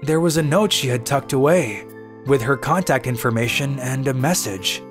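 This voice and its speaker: A man's voice: medium pitch, normal speed, close to an everyday voice. A man voicing a self-deprecating young office worker, narrating and acting out his own manga story. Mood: reflective. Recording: music under the voice.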